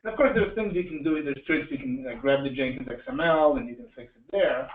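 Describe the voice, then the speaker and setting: A man hosts a live meetup in a loose, joking way, often pausing mid-sentence. His voice sits at a middle pitch, heard from a little way off in an echoey room. The sound is thin and narrow, with faint noise behind it. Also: recorded from a distance. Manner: joking, halting, asks the audience questions. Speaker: meetup host introducing speakers. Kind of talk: informal live presentation to an audience.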